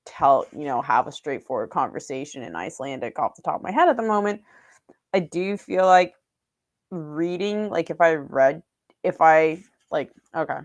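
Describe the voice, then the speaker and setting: A woman's voice: low-pitched, dry recording. A young woman vlogging casually about her language learning, rambling with lots of fillers and restarts. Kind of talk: casual vlog chat. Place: small room indoors. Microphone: desk mic on boom arm.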